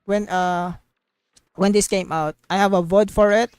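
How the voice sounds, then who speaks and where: A woman's voice: mid-range voice, steady tone, measured pace, a little mellow, clear, resonant tone, no room sound. A woman live streamer giving casual, rambling gaming commentary, emphatic and opinionated, repeating phrases to drive points home.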